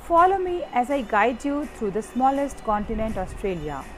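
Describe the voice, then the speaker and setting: A woman's voice: on the high side, sing-song, normal speed, fairly bright tone, faint music behind. A woman reading out a cheerful boy from a children's textbook, in a friendly, upbeat way.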